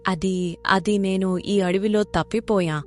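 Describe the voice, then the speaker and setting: A woman voicing a gentle, kind young princess in hiding, humbled, in a cartoon moral story. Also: medium pitch, steady tone, takes it slowly, lightly acted. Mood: timid, sad.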